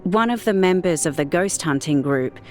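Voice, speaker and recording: A woman reading a fictional story as an audiobook narrator, in a measured, deliberate storytelling manner. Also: lowish voice, expressive, darkish tone, faint music behind.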